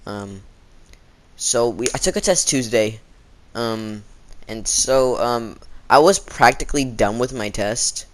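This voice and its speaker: Teenage male, casual storytime vlogger, mid-pitched, halting and slangy, throat a bit sore. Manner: halting, informal, slangy, dramatizing quotes. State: sore throat, frequent throat clearing. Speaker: video storyteller.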